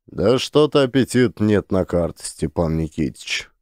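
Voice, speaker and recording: A heavyset police chief grumbles his lines in a sullen, gruff way, as an audiobook reader puts him on. The voice is low and mellow, recorded dry and close. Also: lively intonation, unhurried, no echo. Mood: grumbling. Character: heavy grumpy middle-aged male police chief. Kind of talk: audiobook character voice.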